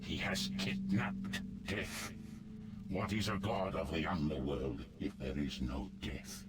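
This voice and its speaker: An actor playing a solemn, resolute god of the underworld with a grave, formal manner in a fantasy game. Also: male voice, rumbling low, steady tone, takes it slowly, very noisy. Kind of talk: scripted fantasy game dialogue.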